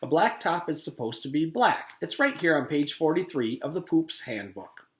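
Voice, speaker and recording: A man's voice: fairly high pitch, big pitch swings, normal speed, pitched above the performer's own voice. Man playing a pompous, stuffy playground supervisor, roomy echo. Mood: officious, stern. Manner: pompous, officious. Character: stuffy, rule-obsessed male playground supervisor.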